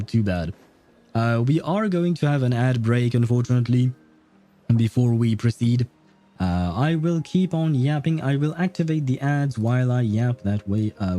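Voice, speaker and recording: A man with a very dark, soft-edged voice at an average pitch chats through a game livestream. He is opinionated and talkative, speaking casually over background music. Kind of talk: casual livestream commentary while playing a game.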